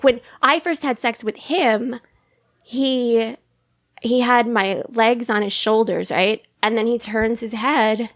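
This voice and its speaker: Casting: young woman, girly high voice with vocal fry, candid guest, dry close sound. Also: no room sound. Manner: girly high voice, laughs often. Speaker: podcast guest. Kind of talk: casual candid chat.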